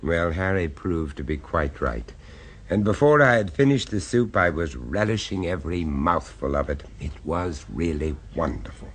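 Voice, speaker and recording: A genteel dinner guest tells the tale in a vintage radio drama. His voice sits very low but moves widely, wry and faintly amused as he doubts his host. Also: animated, normal speed, slightly rough. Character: skeptical English dinner guest, first-person narrator. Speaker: narrator and diner. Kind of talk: 1970s radio drama acting. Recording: old radio broadcast recording.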